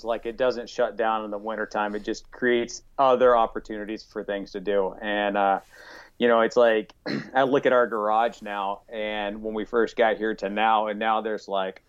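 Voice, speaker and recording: A man's voice: fairly low pitch, clean tone. A middle-aged man from Arkansas, chatting as an interview guest in a folksy, rambling way with many fillers. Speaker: guest, rifle company owner. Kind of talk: casual interview chat. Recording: dull lo-fi audio.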